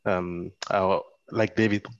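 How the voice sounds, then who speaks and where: Deep French man on a dull call line. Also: steady tone, takes it slowly, clean tone, no echo. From France. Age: 30s. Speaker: panel guest. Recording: dull dry video-call audio.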